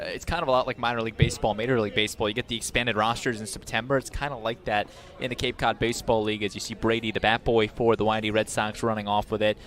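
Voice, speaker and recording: A young American man works as color commentator on a live baseball radio call. His voice is on the low side and he talks quickly, as an analyst filling in between plays. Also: a bit bright. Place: outdoor baseball stadium broadcast.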